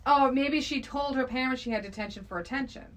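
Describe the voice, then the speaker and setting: A woman's voice: high voice, fairly quick, slight background noise. A middle-aged woman discussing a film casually and emphatically, acting out bits of dialogue as she goes.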